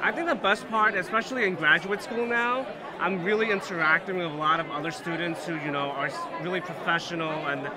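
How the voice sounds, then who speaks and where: A man's voice: high voice, brisk. A young man in his twenties, a graduate student, answering interview questions earnestly with lots of "you know" fillers. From Hong Kong, raised in New York. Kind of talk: casual interview. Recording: loud crowd behind. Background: crowd chatter.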